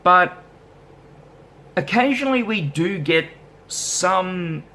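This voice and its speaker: A man with a somewhat high voice chats loosely in a casual vlog. He is easygoing and self-deprecating, and his talk rambles with fillers as he answers viewer questions about his band. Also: lively intonation, takes it slowly, pushed and bright, rough recording. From Australia. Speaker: musician vlogger.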